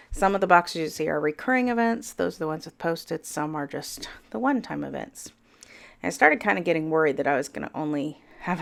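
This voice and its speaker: A middle-aged woman with a froggy voice, chatting casually through a voiceover and rambling with self-deprecating chuckles. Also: sits low. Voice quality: froggy, gravelly voice. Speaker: planner vlogger. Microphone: voiceover mic.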